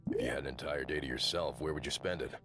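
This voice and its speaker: A man voicing a mysterious male moth creature in a game, who calmly asks questions. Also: very deep voice. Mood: calm, probing. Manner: calm, measured questioning. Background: game music.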